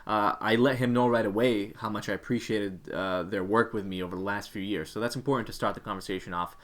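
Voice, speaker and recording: Lowish-voiced man giving informal advice. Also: fairly even intonation, quick pace. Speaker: co-host, career coach.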